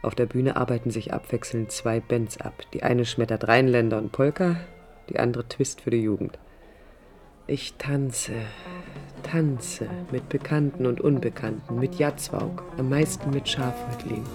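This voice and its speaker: An actor playing a reflective, ironic storyteller in a literary radio drama, measured and emphatic. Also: male voice, medium pitch, fairly even intonation, music in the background, natural voice. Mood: reminiscent. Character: reflective, ironic first-person storyteller looking back.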